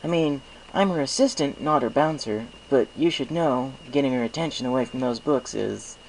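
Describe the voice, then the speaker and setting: A man's voice: mid-range voice, normal speed, vocal fry, slight background noise, pitched above the performer's own voice, natural voice, poor audio. A man voicing a cocky young male dragon assistant in a storybook reading, with a breezy, sarcastic manner. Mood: teasing.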